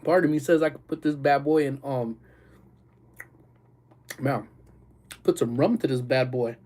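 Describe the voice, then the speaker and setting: A man hosts a laid-back livestream, rambling through his chat with lots of fillers and easy laughs. His voice is mellow and darker in tone, with a slightly nasal, congested edge. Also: medium pitch, steady tone, measured pace. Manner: rambling, loose talk with many fillers and frequent laughs. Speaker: livestream host. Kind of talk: casual livestream chat with viewers.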